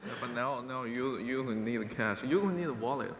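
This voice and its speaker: A man's voice: expressive, normal speed. A man from China speaking on a conference panel, direct and brief, with frequent short agreements. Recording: echoey hall with faint rumble.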